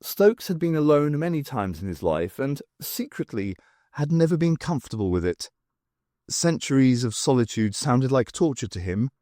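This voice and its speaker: A man's voice: mid-range voice, very animated, normal speed, warm tone, no echo, studio quality. A man reading a science fiction story aloud as scripted audiobook narration. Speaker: audiobook reader.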